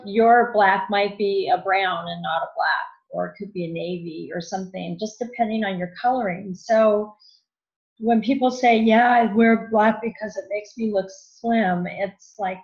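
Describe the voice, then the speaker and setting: A woman's voice: fairly even intonation, unhurried, a little mellow. A woman guest giving casual style advice in conversation, explaining things in a matter-of-fact way. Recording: dull, muffled low-fidelity audio.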